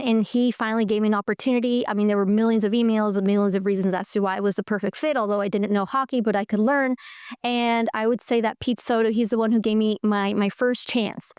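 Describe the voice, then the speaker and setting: Clear, higher-voiced woman telling her story. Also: no room sound, clean studio sound. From Colombia. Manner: animated, enthusiastic storyteller. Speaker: guest. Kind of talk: conversational interview storytelling.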